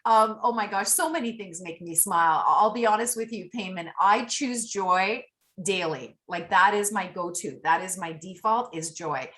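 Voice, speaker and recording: A woman's voice: mid-range voice, moderate pace, a bit bright. A woman raised from early childhood in Canada after being born in Italy, Italian her first language, talking as an upbeat, effusive podcast guest over a remote call. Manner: effusive, upbeat motivational talk. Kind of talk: casual interview chat.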